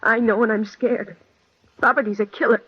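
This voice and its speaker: An actress playing an anxious, secretive young secretary in an old-time radio drama. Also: female voice, a little high, fairly even intonation, moderate pace, slightly rough. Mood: frightened, confiding. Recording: dull vintage radio drama recording.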